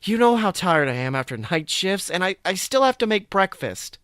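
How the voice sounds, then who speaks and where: Stern, nagging young storybook sister, done by a man: raised pitch, sharp, complaining. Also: very animated, moderate pace, smooth, no room sound, studio quality. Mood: scolding. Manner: scolding, complaining.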